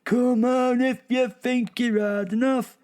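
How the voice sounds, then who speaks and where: A man voicing small, aggressive, territorial songbirds in a shrill, repetitive shout for an audio drama. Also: steady tone, slow. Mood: belligerent.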